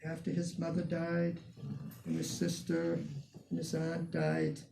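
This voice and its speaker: An older man, a museum curator, telling animated anecdotes to a small audience. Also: fairly high pitch, measured pace, creaky, noisy background. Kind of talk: storytelling talk to an audience.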